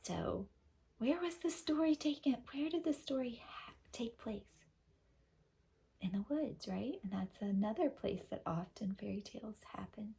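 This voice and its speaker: A woman's voice: fairly high pitch, expressive, a little slow, vocal fry, warm tone. A woman teacher reading a storybook aloud expressively, with questioning, instructive asides.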